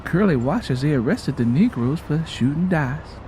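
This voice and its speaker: A man's voice: fairly high pitch, animated, moderate pace, darkish tone, slight background noise, natural voice. A man voicing a frank, world-wise mother from the American South, telling a family tale in a folksy, plainspoken way. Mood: reflective storytelling.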